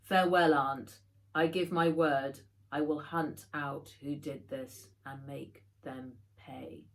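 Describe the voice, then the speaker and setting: A woman's voice: deep voice, fairly even intonation, takes it slowly. A woman reading a storybook as a solemn clansman who guards his clan's history. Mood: grieving, vowing. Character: Jamuka, solemn Mongolian clansman telling clan history.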